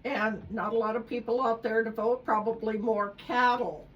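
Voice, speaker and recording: A woman's voice: on the high side, steady tone, moderate pace, distant mic, dull audio. A woman hosting a local radio interview in a studio, chatty and upbeat. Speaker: radio host. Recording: faint noise, off-mic in studio.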